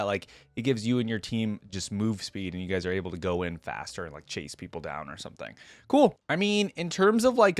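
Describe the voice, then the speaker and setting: A man's voice: mid-range voice, no echo. A man hosting a reaction video, giving casual, upbeat commentary in an informal, appreciative way. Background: music behind the voice.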